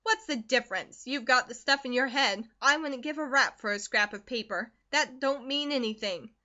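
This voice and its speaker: A woman reads aloud and puts on a harsh middle-aged farm mother who scolds her daughter. She is loud, sharp and unbending, with a high, bright tone. The recording is dull and lo-fi. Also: normal speed. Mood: scolding.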